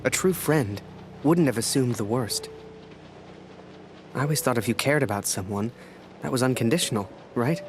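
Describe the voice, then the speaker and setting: An actor playing a weary, disillusioned young war photographer, narrating a game story in a rueful, introspective way with music behind. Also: male voice, medium pitch, normal speed, long pauses, background noise, lightly acted. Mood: hurt, reflective. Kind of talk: reflective first-person game narration.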